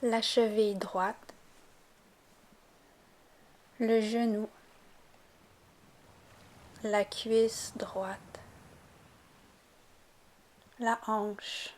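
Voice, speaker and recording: A woman guiding a yoga nidra relaxation, giving calm, gentle, unhurried instructions. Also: on the high side. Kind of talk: guided relaxation meditation narration.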